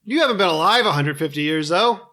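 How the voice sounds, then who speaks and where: High-voiced joking man. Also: lively intonation, fast talker, bright, forceful tone. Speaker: podcast co-host. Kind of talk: casual banter.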